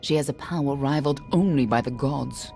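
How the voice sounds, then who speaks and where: An actress playing a stern, guarded warrior woman in a fantasy game, speaking in a cool, serious, measured way. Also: a bass voice, vocal fry, dark tone, faint music behind. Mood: grave, guarded. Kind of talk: dramatic fantasy game dialogue.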